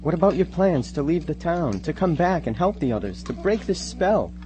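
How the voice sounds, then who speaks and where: A man's voice: on the high side, sing-song, normal speed, music in the background. A man playing an anxious, doubting teenage boy in a radio drama, fretful and full of pleading questions. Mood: panicked. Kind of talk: live amateur radio drama acting.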